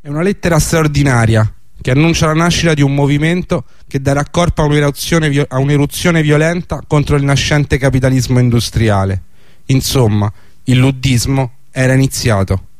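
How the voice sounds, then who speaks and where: A man's voice: medium pitch, a little fast, dry recording. Guy narrating a radio history drama, documentary style, dry studio sound.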